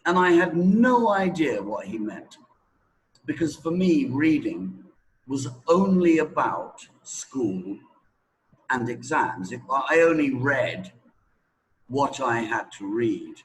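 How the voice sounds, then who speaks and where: A middle-aged man talking anecdotally as an English teacher, deliberate and emphatic, with dramatic pauses and repetition. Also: medium pitch, very animated, rough recording. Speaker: English teacher being interviewed. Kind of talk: anecdotal spoken talk.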